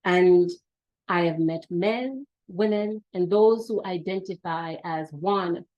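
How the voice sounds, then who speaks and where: An older woman with a Jamaican accent gives a prepared, inspirational welcome speech as an institute leader over a video call, speaking deliberately and with emphatic repeated phrases. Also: on the low side, highs cut off.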